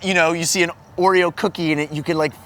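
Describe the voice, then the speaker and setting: A man's voice: on the high side, fairly even intonation, fast talker, a bit bright. A man hosting a casual film review, talking informally and with enthusiasm, with some noise in the background. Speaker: show host and film reviewer.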